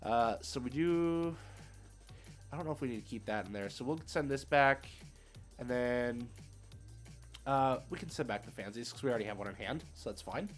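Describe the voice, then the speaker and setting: Casting: male game streamer, mid-range voice, casual play-by-play, thinks out loud, music underneath. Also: mid-range voice, lively intonation, normal speed, long pauses. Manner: thinking aloud, informal gamer slang. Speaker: streamer hosting card game matches. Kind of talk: casual live gameplay commentary.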